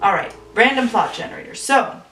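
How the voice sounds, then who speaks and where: A woman's voice: a little high, animated, a little fast, fairly bright tone, distant mic. A woman spins an improvised tale in a loose, chatty way, thinking aloud as she goes. She sits some way back from the mic in a roomy, echoey space, with faint music underneath. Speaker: storyteller. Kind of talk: casual improvised storytelling.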